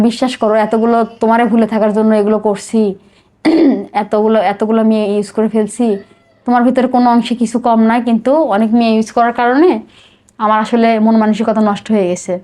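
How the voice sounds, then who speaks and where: A woman's voice: a little high, a little mellow. A middle-aged Bangladeshi woman telling her life story in a confessional interview, emotional and outpouring, often repeating herself.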